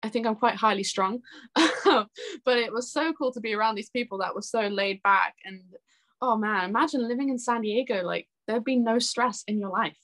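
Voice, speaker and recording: A woman's voice: on the high side, normal speed, fairly bright tone. A young woman chatting casually and informally as an interview guest. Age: young adult. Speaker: interview guest, singer-songwriter. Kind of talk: casual chat.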